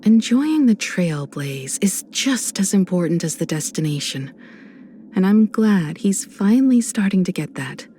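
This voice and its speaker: An actress voicing a composed adult woman space traveler in a video game, a warm mentor who speaks in a measured, reflective, gently teasing way. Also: medium pitch, sing-song, a little hiss or hum. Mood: fond, amused. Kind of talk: video game character voice lines.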